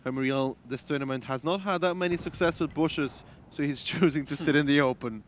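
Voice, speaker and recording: A man calling a live esports match, with quick teasing banter and brisk play calls. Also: a little high, slight background noise.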